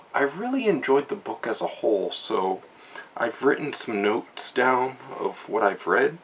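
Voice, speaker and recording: A man's voice: medium pitch, a little hiss or hum. A young man giving a casual, rambling book review from his notes, with many fillers and restarts.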